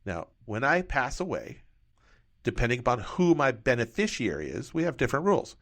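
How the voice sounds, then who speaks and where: A man's voice: medium pitch, very animated, fairly quick, a bit bright. A man working as a financial advisor, explaining retirement tax rules through first-person hypothetical examples.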